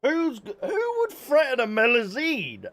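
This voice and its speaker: A man's voice: very high voice, huge pitch swings, a little slow, a bit bright. A man doing casual live game commentary as a streamer, playful and chatty, reacting aloud. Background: game music.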